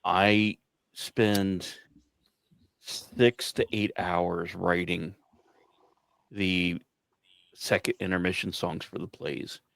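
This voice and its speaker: A man hosting a casual panel, halting and repeating his words as he steers the conversation. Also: low voice, steady tone, vocal fry, fairly bright tone, dry recording. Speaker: host and songwriter.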